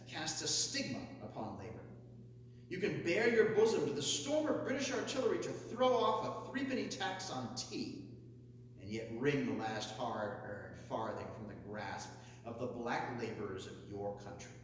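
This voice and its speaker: A man reading a historic speech aloud as a declamatory oration, building each list to forceful, emphatic peaks. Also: mid-range voice. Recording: echoey hall, mic far off, faint hum.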